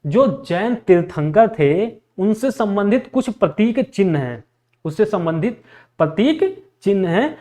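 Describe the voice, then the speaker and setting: Male exam teacher, high-pitched, repetitive question-and-answer drilling in a lecture style. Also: sing-song, unhurried, mellow. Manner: repetitive, asks questions and answers them himself. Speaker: history teacher for exam preparation. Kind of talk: classroom lecture drilling facts for exams.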